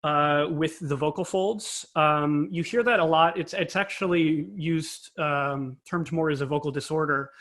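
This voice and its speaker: A man's voice: a little high, measured pace, hardly any pauses, fairly bright tone. A man being interviewed as an expert, giving an informal, analytical explanation and choosing his wording carefully. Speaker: interview guest, composer and conductor.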